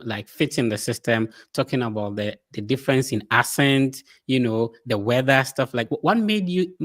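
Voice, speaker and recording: A man's voice: medium pitch, no room sound. Man asking questions in a dry recording. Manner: rambling questions, brief backchannel replies. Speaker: interviewer. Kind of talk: interview questions.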